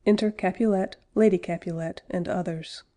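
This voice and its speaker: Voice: medium pitch, fairly even intonation, normal speed, dark tone. A narrator reading out stage directions plainly for a play audiobook.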